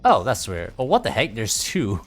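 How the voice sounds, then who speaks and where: A man's voice: lowish voice, wildly swooping pitch, moderate pace. A man livestreaming a video game, chatting casually and easygoing with viewers as he plays. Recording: music playing behind the voice. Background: game music.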